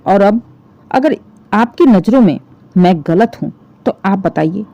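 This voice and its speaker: A woman's voice: medium pitch, animated, a little slow, mellow, dull audio. Stern, indignant older mother-in-law, voiced by a woman. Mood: indignant, reproachful. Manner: stern, reproachful, lecturing. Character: indignant, aggrieved older mother-in-law scolding over her daughter.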